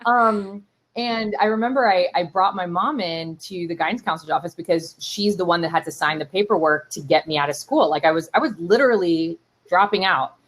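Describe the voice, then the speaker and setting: A woman in her thirties from Miami whose first language is Spanish, telling her story in a casual interview with lots of fillers and animated asides. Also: lowish voice, fairly quick. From Miami, Florida. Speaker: startup CEO and keynote guest. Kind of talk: conversational interview.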